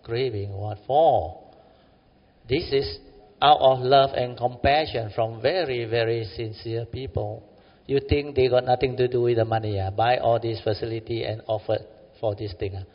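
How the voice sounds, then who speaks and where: A man's voice: medium pitch, steady tone, a little slow, long reverb tail, muffled recording. A man with a Malaysian English accent giving a Buddhist dharma talk in a meditation center, colloquial and exhorting, often tagging "huh?" onto his sentences. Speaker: Buddhist teacher.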